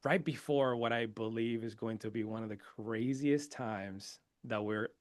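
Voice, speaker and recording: A man's voice: mid-range voice, unhurried, no echo, studio quality. Venezuelan-born man telling his story. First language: Spanish. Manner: energetic, proud, laughs often. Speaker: podcast guest. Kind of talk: casual interview storytelling.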